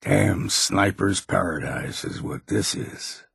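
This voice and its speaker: Gravelly old American wasteland hunter. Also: male voice, low voice, big pitch swings, takes it slowly, a bit bright, no echo, clean studio sound, full character voice. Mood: gruff, wary. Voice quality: gravelly, husky. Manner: folksy, plain-spoken, blunt. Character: grizzled old wasteland hunter, gruff, wry, world-weary. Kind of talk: video game character voice lines.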